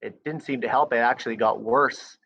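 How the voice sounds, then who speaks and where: A teacher in his thirties telling his story in a brisk, conversational way. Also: medium pitch, fairly bright tone, a little hiss or hum. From Saskatchewan, Canada. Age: 30s. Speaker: French teacher. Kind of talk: interview recollection. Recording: slightly dull, muffled sound.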